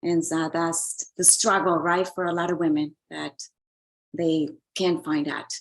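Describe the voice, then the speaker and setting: A woman's voice: low-pitched, level tone, unhurried. A woman from Colombia, a relationship coach and author, speaking earnestly as an interview guest, often repeating words as she searches for them.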